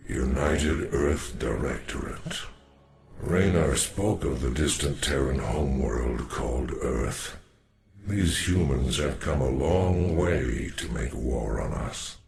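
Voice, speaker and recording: A man playing a grave, wary old alien warrior in a video game, speaking solemnly and formally with a gravelly voice. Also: very deep voice, lively intonation, slow pace, full character voice. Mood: grave, cautioning. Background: music.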